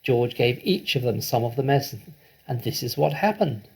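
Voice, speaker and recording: Gravelly, husky male storybook reader. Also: medium pitch, expressive, normal speed, darkish tone. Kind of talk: expressive scripted children's story narration.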